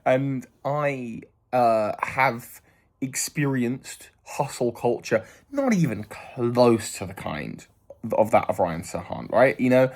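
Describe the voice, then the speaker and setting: A man's voice: mid-range voice, animated, slow, darkish tone. Man in his thirties musing aloud. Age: 30s. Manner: rambling, hesitant, lots of fillers and restarts; thoughtful and earnest. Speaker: vlogger. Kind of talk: reflective casual monologue.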